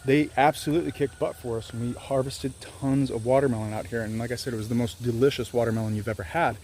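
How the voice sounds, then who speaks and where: A man's voice: mid-range voice, fairly even intonation, quick pace, darkish tone, slight background noise. A man in his thirties, an organic farmer, chatting candidly in a rambling, self-deprecating way while filming himself outdoors on his farm. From Louisiana, US. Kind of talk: casual farm vlog. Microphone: handheld camera at arm's length.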